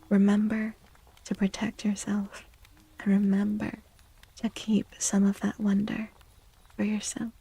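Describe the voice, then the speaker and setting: A woman reading tarot in a soft-spoken ASMR style, gentle and deliberate, often close to a whisper, with pauses and repeated phrases. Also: medium pitch, gravelly, slightly rough, poor audio. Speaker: streamer, tarot reader.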